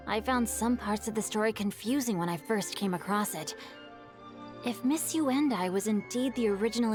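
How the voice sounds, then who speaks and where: A woman's voice: mid-range voice, close to an everyday voice. A woman voicing a polite, bookish young man in a video game, speaking in formal, thoughtful, storytelling phrases. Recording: game dialogue over background music. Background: game music.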